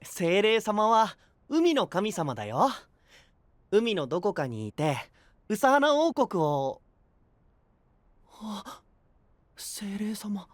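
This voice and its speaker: A man voicing a bright, friendly, impulsive young knight in an anime-style voice drama, with a boyish, casual delivery. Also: sits high, very animated, slow pace, long pauses, no room sound, exaggerated voice. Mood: realizing, excited. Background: background music.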